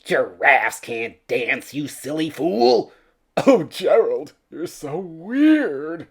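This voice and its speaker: A woman voicing loud, excitable jungle animals in a children's storybook. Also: low-pitched, drawn-out words, breathy edge, voice pushed up, full character voice. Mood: jeering.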